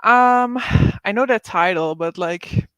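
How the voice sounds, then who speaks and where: A woman's voice: mid-range voice, takes it slowly, a bit bright, clear, resonant tone, no echo, rough recording. A woman hosting a casual livestream reading sprint, reading viewer comments aloud, chatting with frequent laughs, likes and ums.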